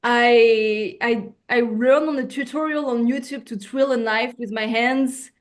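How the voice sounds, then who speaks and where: A French woman speaking English as her second language, an actress chatting casually on a video call, often pausing for words and restarting. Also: fairly high pitch, fairly even intonation. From France. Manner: searching for words, frequent fillers and restarts. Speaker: actress, guest.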